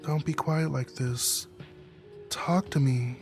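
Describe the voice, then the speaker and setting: A lovestruck young woman in a visual novel, voiced by a man, talks softly and imploringly. The voice is slightly raised and slow, with faint music underneath. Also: a little high. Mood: pleading. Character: tender, pleading young woman in love.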